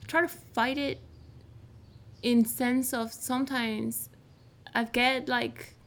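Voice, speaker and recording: A woman from Mexico with a Mexican accent, a native Spanish speaker, talking reflectively as an interview guest and often pausing to search for words. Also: a little high, level tone, a bit bright. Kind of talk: casual interview conversation.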